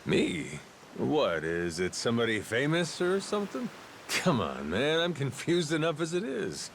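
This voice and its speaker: A man's voice: fairly high pitch, wildly swooping pitch, unhurried, creaky, slight background noise, full character voice. A man voicing a wry, informal male boat captain and adventurer in a video game dub.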